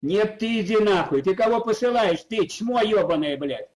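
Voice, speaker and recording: A man's voice: sits high, lively intonation, normal speed, darkish tone, poor audio. A man in his seventies, a game player, grumbling, cursing and lecturing younger players in a heated voice chat. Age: 70s.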